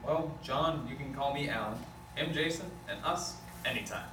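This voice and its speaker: A man's voice: medium pitch, moderate pace, over music, natural voice. A man reading the part of an earnest, bossy lawyer given to speeches in an amateur script table read. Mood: businesslike. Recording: distant, echoey, noisy room.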